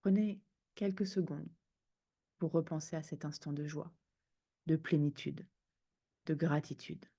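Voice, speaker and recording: A woman guiding a sleep meditation in a soothing, hushed manner, speaking in unhurried phrases with pauses. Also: low-pitched, vocal fry, slightly rough. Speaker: yoga nidra guide.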